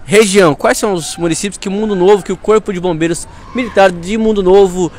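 A man's voice: high-pitched, steady tone, fast talker, a little hiss or hum. A young man with a Brazilian accent reporting and interviewing on location outdoors, speaking into a small mic held close. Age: young adult. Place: outdoors in front of a fire station. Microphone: small handheld clip mic held near the mouth.

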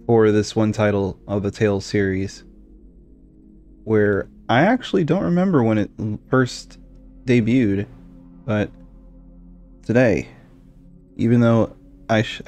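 A groggy man who has just woken up, talking through a let's-play in a lowish voice. He rambles, trails off and restarts his sentences. Faint game music plays under him. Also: darkish tone. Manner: rambling, many fillers and restarts. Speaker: gaming channel host. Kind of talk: casual let's-play commentary.

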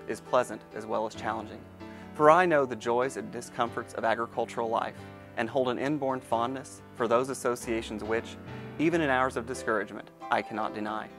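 A man in his thirties recites a creed formally at a medium pitch, with faint guitar music underneath. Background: soft guitar music.

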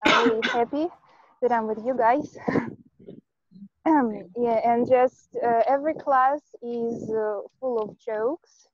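A young woman from Russia speaks slowly on a video call, pausing often on fillers. Her voice sits a little high, and the sound is dull, dry, lo-fi call audio from an earphone mic outdoors. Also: steady tone, no room sound. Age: 20s. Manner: unhurried, hesitant with many fillers. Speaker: volunteer teacher. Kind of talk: casual video call chat. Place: outdoors among trees.

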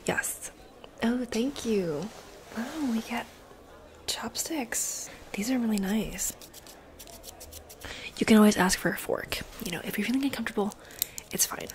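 A young adult woman doing a casual ASMR role play, speaking softly and often slipping into a whisper with mouth sounds, close to a desktop mic. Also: on the high side, unhurried, pauses often. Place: bedroom, indoors. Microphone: close desktop condenser mic. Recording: close mic with noise, clicks.

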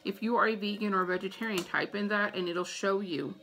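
A woman's voice: medium pitch, steady tone, a little fast, fairly bright tone, faint music behind. A middle-aged American woman giving casual, chatty advice to camera in an informal way, repeating her points for emphasis. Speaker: vlogger giving household tips. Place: small room at home, indoors. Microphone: phone or tablet camera at about arm's length.

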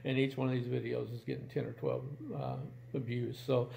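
A man in his sixties chats casually to camera from a room at home. His voice is mellow and creaky, and he feels his way through sentences in a halting, plain-spoken manner. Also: medium pitch, little pitch movement, slight background noise. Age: 60s. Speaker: video channel host.

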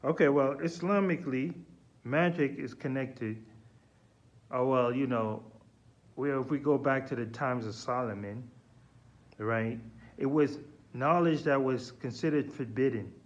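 Slow, deliberate man questioning casually. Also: mid-range voice, lo-fi sound. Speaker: vlogger questioning a character on the phone. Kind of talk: casual, probing chat. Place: small indoor room with sloped wooden ceiling. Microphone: phone at arm's length.

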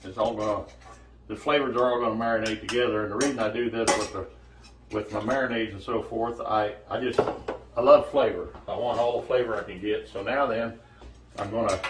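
A man in his sixties giving a casual, halting cooking demonstration as host, recorded from a distance in a home kitchen. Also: fairly low pitch, steady tone. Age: 60s. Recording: echoey kitchen, distant mic, faint noise.

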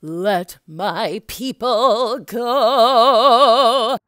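A woman's voice: fairly high pitch, very slow, a bit bright, dry recording, faint music behind. A woman voicing God as a burning bush in a children's puppet show, bossy and encouraging, with an emphatic, coaxing delivery. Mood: booming, commanding. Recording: noticeable background noise.

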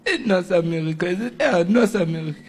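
A young man, answering a pastor in halting, pleading speech at a church service. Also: high voice. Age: young adult. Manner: halting, pleading, broken English. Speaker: congregant receiving deliverance. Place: church service before an audience.

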